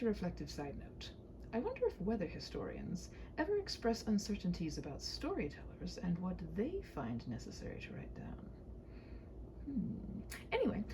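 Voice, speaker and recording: Wry woman storyteller, slightly creaky and animated. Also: mid-range voice, background noise. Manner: whimsical, mock-formal, wordy. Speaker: storyteller reading own short story. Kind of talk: humorous scripted storybook narration with wry asides.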